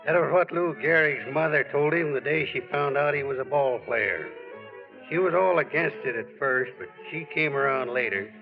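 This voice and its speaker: A man's voice: fairly high pitch, moderate pace, natural voice. Man playing folksy sportswriter telling a story on 40s radio, music behind him, muffled old recording. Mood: reminiscing, fond. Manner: folksy, conversational, reminiscing. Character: friendly, plain-spoken sportswriter narrating a friend's story. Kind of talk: 1940s radio drama narration.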